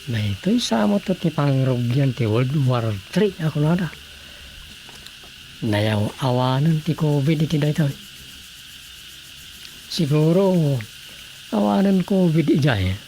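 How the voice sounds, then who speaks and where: An actor with an Ilocano Filipino accent playing a married writer who muses aloud to himself in a radio drama. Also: male voice, a little high, animated, slow, long pauses, dark tone, slight background noise, rough recording. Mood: musing, concerned. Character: married man, a writer musing on world troubles.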